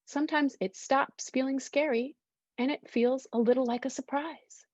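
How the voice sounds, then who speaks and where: A woman voicing a calm, reassuring father in a storybook, gentle and encouraging. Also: extremely high pitch, moderate pace, no room sound, pitched above the performer's own voice. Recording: dull, lo-fi sound.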